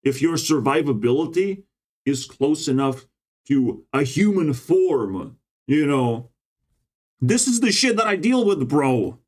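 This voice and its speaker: A man's voice: very animated, slow, a little mellow. Rambling man who keeps saying "bro". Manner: meandering, swears often, ends phrases with "bro"; pitch jumps up and drops low often. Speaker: vlogger. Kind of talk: rambling casual monologue.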